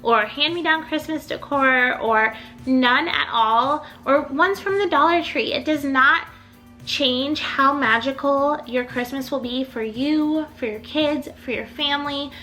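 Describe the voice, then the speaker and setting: Chatty bright-voiced young woman vlogger. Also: sits high, measured pace, soft background music, rough recording. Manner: chatty, rambling, upbeat, lots of "like". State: recovering from illness, voice a little off. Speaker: home decor YouTuber. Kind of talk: casual chatty vlog.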